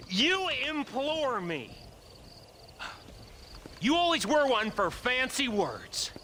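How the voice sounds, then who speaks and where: An actor playing a mocking, theatrical American outlaw gang leader in a Western video game, taunting with scorn. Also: male voice, very high voice, big pitch swings, drawn-out words, long pauses, bright, forceful tone, a little hiss or hum, exaggerated voice. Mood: mocking, gloating. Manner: taunting, theatrical, mimicking fancy words with scorn.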